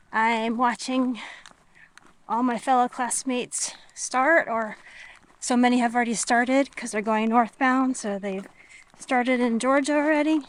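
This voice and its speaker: Slow, musing woman vlogging outdoors. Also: a little high. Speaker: hiking vlogger. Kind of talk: casual vlog chat to viewers. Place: outdoors, on a walk and at a tent setup. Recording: noisy outdoor camera recording with natural ambience.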